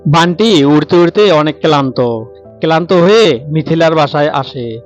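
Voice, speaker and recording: Higher-pitched male cartoon story narrator. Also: moderate pace, dull audio, faint music behind.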